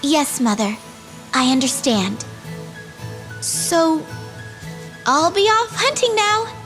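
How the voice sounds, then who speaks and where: A woman's voice: high voice, very animated, slow pace, fairly bright tone, faint music behind, heavily acted. A woman playing a headstrong, naive young fairy princess in a fantasy game, girlish and eager. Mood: obedient. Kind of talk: fantasy video game voice acting.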